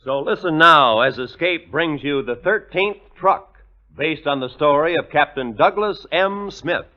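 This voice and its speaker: A man's voice: fairly high pitch, lively intonation, measured pace. A man announcing emphatically in 1950s radio style. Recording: muffled vintage radio with music.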